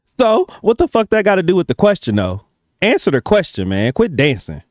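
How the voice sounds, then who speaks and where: A man's voice: high-pitched, wildly swooping pitch, a little fast, dry recording, clean studio sound. A man giving casual reaction commentary as host, with profane, slangy talk.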